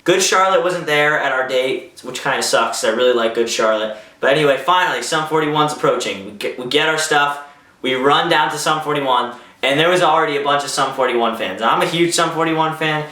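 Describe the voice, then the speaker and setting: A young man tells a story vlog-style in a bright, forward voice. He is casual and excitable, acting out quotes as he goes. The sound is roomy and a little way off from the microphone. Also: mid-range voice. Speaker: vlogger telling a personal story. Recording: roomy echo, distant microphone.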